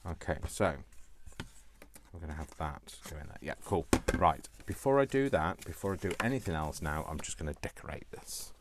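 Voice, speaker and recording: A man's voice: rumbling low, lively intonation, normal speed, background noise. A man giving a casual, chatty craft demonstration, thinking aloud and playfully muttering short repeated phrases to himself as he works. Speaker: craft tutorial host.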